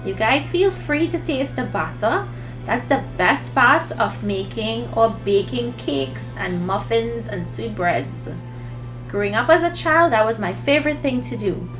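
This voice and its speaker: A woman's voice: fairly high pitch, lively intonation, measured pace, soft background music. A woman presenting a recipe for children in an instructive, friendly demonstration style, speaking directly to them.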